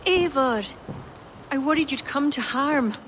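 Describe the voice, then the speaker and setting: A woman's voice: high voice, lively intonation, measured pace, background noise. An earnest, urgent young woman ally.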